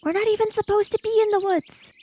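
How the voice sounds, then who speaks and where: A woman's voice: very high voice, steady tone, quick pace, dark tone, no echo, voice pushed up. A woman playing a sensible kid in improvised tabletop roleplay, reasoning aloud in a questioning, matter-of-fact way. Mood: uncertain, practical.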